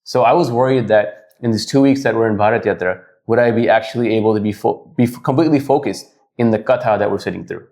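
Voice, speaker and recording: A man's voice: fairly low pitch, fast, few pauses, darkish tone. A man in his twenties speaking earnestly on a podcast, stumbling a little over words. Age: 20s. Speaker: podcast guest. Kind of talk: casual podcast chat.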